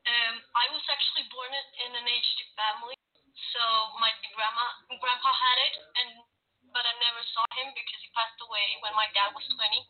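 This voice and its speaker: A woman in her twenties from Sweden, sharing her family story as a guest in an earnest, slightly halting way over a video call. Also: fairly high pitch, fairly even intonation. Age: 20s. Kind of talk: personal interview. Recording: tinny phone-like video call.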